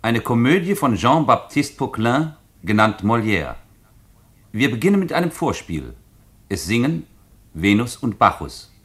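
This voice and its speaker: A man's voice: medium pitch, sing-song, unhurried, a bit bright. A man announcing a comic radio play in a theatrical, formal introduction.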